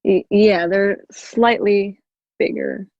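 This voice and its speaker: A woman's voice: medium pitch, fairly even intonation, takes it slowly, a little mellow, no echo, muffled recording. A woman guest chatting cheerfully about her collection, giggling often in a casual interview. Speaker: guest, toy collector and YouTuber.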